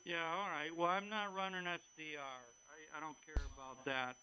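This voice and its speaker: A man's voice: fairly high pitch, little pitch movement, normal speed, sharp tone. A man talking casually as an amateur radio operator over a radio transmission. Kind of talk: casual on-air ham radio chat. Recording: noisy radio transmission with hum.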